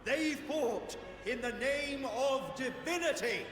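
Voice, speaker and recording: An actor playing a pompous, indignant official of a religious order in a video game. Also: male voice, extremely high pitch, lively intonation, slow, a bit bright, slight background noise, exaggerated voice. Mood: indignant, commanding. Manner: pompous, authoritative. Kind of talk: dramatic video game voice acting. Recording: echoey hall reverb, music behind.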